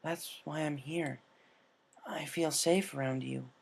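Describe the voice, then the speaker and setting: Man voicing an earnest, excitable pony girl, raised pitch. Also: takes it slowly, gravelly, husky, exaggerated voice. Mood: tender, vulnerable. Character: excitable, earnest female cartoon pegasus pony, grateful and stubborn.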